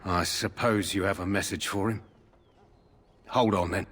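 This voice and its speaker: A man playing a gruff, dutiful guard in a fantasy game, curt, formal and guarded. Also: sits low, steady tone, unhurried, fairly bright tone, faint music behind. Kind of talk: fantasy video game dialogue.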